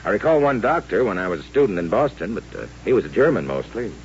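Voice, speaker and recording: Low-voiced frontier doctor, lively intonation. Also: male voice, quick pace. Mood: awkward, curious. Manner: frank, hesitant when delicate, conversational. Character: plain-spoken, affable frontier town doctor. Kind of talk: old-time radio drama acting. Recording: muffled old radio recording with hum.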